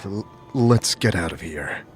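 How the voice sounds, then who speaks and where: A man's voice: deep voice, expressive, a little slow, over music. A man playing a curious, patient, slightly wary adventuring leader in an audio drama, with a hesitant, questioning manner. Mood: wary, dry.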